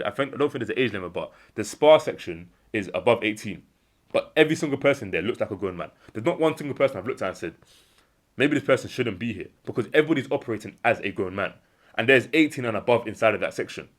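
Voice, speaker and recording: Young British man, rapid and emphatic, slightly low register; self-improvement vlogger type. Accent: British English. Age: young adult. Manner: earnest, emphatic, repeats key phrases; acts out imagined dialogue in a lower voice. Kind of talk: unscripted motivational monologue.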